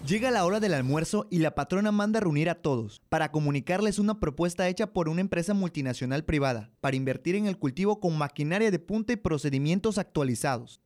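A man's voice: fairly high pitch, a little mellow, dry recording, studio quality. A young man with a Mexican accent presenting and narrating a scripted radio drama. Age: young adult.

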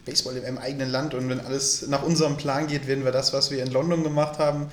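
A man's voice: medium pitch, little pitch movement, quick pace, roomy sound, a little hiss or hum. A man co-hosting a podcast, chatty and joking in a casual exchange. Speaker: podcast co-host. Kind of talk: casual chat.

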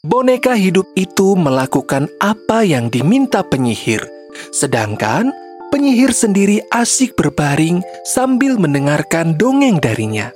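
Low, animated woman storyteller. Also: unhurried. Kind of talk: scripted children's story narration. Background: faint music.

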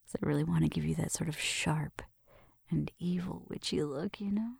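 A woman performing an ASMR roleplay in a gentle, breathy whisper, murmuring through each step close to the microphone. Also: sits low, moderate pace, no echo. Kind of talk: ASMR roleplay, soft personal attention. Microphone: close microphone, dry sound.

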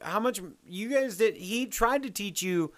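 A man hosting a casual family conversation as interviewer, enthusiastic and quick to interject. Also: high-pitched, lively intonation. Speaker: host interviewing older relatives.

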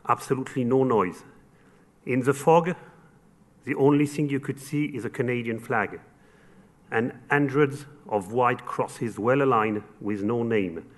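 A man's voice: mid-range voice, unhurried. Earnest French-accented man at a podium. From France. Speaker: event sponsor speaker. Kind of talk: formal closing remarks at a luncheon speech.

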